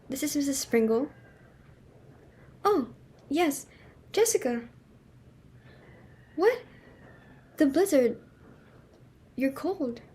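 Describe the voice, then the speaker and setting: A woman acts out a haughty society hostess and mother from a stage comedy, with a high voice. She is imperious and quick to scold, easily flustered and full of indignation. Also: warm tone. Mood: affectedly sympathetic. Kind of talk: dramatic reading of a stage comedy.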